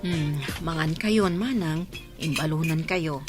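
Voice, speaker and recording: A woman's voice: deep voice, slow pace, loud music behind the voice. A woman with an Ilocano Filipino accent playing a frank, scolding village wife in a radio drama. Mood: reproachful.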